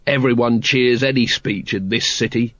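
A man voicing a gruff, weary male city watch captain in a storybook reading, blunt and exasperated. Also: mid-range voice, steady tone, moderate pace, a bit bright, no room sound, highs cut off, close to an everyday voice.

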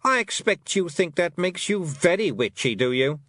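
A man voicing a haughty, cold fairy queen who talks in a silky, condescending, drawn-out way. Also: high-pitched, very animated, fairly bright tone, no echo, studio quality, pitched above the performer's own voice, full character voice. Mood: mocking.

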